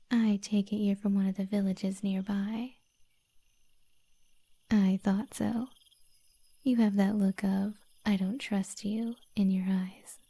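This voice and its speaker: Woman playing a breathy, husky, gentle witch. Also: mid-range voice. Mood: teasing, coaxing. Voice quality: breathy, half-whispered. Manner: slow, soothing, gently teasing. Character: kind, flirty, teasing witch healer in the woods. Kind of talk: soft-spoken ASMR roleplay.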